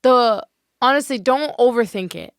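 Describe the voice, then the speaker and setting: A woman with a higher, clean voice talks animatedly on a faith podcast, leaning on "like" as she goes. The sound is close and dry, with no room. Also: normal speed, clean tone, no echo. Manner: animated, leans on "like". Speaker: podcast guest. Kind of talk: casual faith chat. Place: podcast studio.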